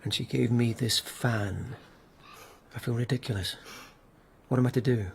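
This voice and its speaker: A man's voice: medium pitch, normal speed, vocal fry, slight background noise, natural voice. An actor playing a dutiful, exasperated palace policeman in a radio drama, terse, formal and guarded.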